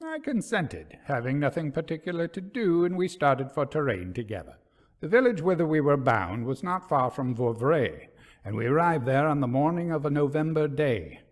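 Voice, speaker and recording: Guy narrating an old-fashioned ghost story, measured and dramatic, pitch moves around a lot. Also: sing-song. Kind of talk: expressive scripted narration of a literary ghost story.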